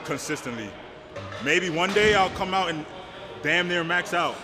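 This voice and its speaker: A twenty-five-year-old man and powerlifter chatting casually in a gym between lifts, loud and excited. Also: sits high, normal speed. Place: large indoor gym. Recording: music playing behind. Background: gym music.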